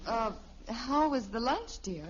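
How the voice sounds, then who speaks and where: A woman's voice: on the high side, animated, slow pace, slightly rough, heavily acted. An actress playing a patient, drily amused middle-aged wife and mother in a 1950s radio sitcom. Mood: patient, concerned. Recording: dull, noisy vintage radio recording.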